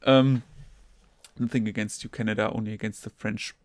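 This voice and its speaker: A man's voice: fairly low pitch, fairly even intonation, normal speed, dry recording. Young man, German accent, rambling podcast host, jokey, dry close sound. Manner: rambling, lots of fillers and self-corrections; jokey, swears casually. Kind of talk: casual solo gaming podcast.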